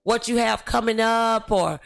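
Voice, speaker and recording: Female talk show host, slow and gravelly with a forceful edge, encouraging, music faint behind. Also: fairly high pitch. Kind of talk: encouraging motivational interview talk.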